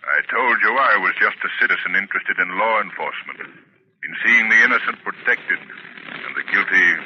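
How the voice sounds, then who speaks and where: An actor playing a mysterious, stern vigilante in 1930s radio drama, deliberate and ominous. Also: male voice, deep voice, slight background noise, close to an everyday voice. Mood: solemn, controlled. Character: mysterious stern male vigilante crime fighter. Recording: muffled 1930s radio recording.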